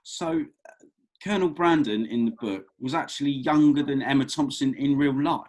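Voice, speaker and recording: A man's voice: mid-range voice, moderate pace, darkish tone, natural voice. A man acting an affable, cheeky, slightly hapless young man in a comedy script read, with hesitant, wry colloquial delivery.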